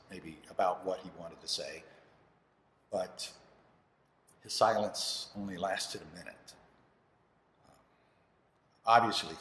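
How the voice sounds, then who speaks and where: An actor, a man, playing an earnest, troubled farmer father telling his story in a halting dramatic monologue. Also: low voice, a bit bright, a little hiss or hum. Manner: halting, with dramatic pauses and restarts. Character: anguished, earnest farmer father of two sons. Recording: roomy, echoing hall with long reverb.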